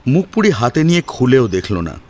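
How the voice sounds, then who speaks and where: A man's voice: mid-range voice, very animated, moderate pace. A man narrating a scripted family drama as an audio story.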